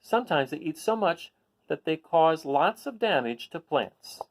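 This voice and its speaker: Animated, higher-pitched man reading to kids. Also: a little slow. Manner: explanatory, addressing young listeners. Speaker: read-aloud host. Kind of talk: reading a children's picture book aloud with casual asides.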